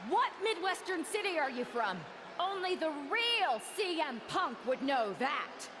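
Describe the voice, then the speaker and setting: Sarcastic woman wrestler, wrestling game promo, extremely high, animated, mocking. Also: a little slow, heavily acted. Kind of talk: staged wrestling promo acting. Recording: noisy arena ambience.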